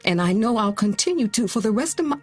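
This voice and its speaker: An actress playing a gentle, grieving middle-aged mother who offers consolation in a naturalistic audio drama. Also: fairly high pitch, animated, slightly rough, dry recording, faint music behind. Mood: earnest, consoling. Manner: slow, gentle, earnest delivery with pauses. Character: grieving, consoling mother who lost her teenage daughter.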